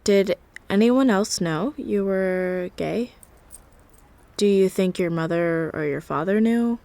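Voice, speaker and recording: A woman playing a gently curious interviewer in an audio drama. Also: medium pitch, slow, vocal fry, no room sound.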